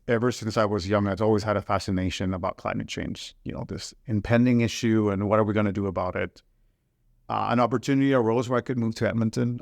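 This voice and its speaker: Earnest Ecuadorian man, lowish voice. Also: creaky, dry recording. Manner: earnest, hesitant with repeated words. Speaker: guest, environment director. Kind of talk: casual interview conversation.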